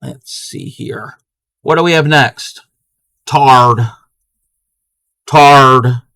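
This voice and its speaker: A man's voice: mid-range voice, steady tone, drawn-out words, bright, forceful tone, smooth, dry recording. A man from Maryland who chats casually on a crafting live stream, answering his chat as he works and often restarting his sentences. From Maryland, USA.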